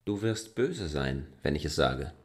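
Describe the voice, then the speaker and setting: An actor playing a self-assured, defiant land surveyor in a literary radio drama, speaking tersely and frankly. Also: male voice, very deep voice, lively intonation, a little slow. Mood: frank, matter-of-fact.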